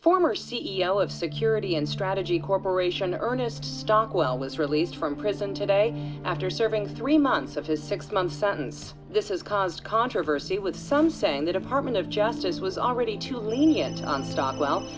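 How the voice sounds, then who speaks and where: A woman's voice: mid-range voice, expressive, natural voice. Woman acting as formal news reader, music behind. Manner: formal, measured. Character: female television news reader, formal and neutral. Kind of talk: scripted news report.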